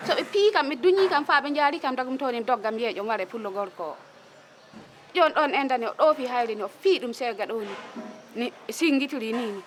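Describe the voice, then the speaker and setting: High-pitched woman giving testimony. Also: fairly quick, slight background noise. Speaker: witness interviewee. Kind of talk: spontaneous testimony.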